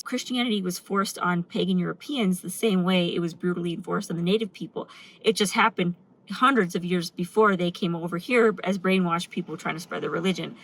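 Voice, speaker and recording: A woman's voice: lowish voice, a bit bright, poor audio. A woman talking earnestly and emphatically in a casual vlog monologue, speaking off the cuff. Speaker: video host.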